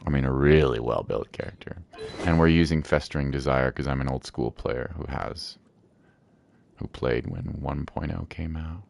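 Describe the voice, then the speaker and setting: A man's voice: rumbling low, normal speed, vocal fry, a little mellow. A man streaming a game with casual, laid-back commentary, musing aloud as he plays.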